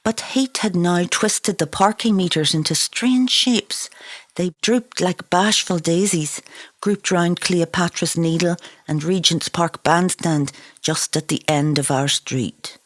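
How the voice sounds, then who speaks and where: Female author-narrator, lower register, dry close sound, dramatic and incantatory literary read. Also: slow pace, no echo. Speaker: author reading their own novel.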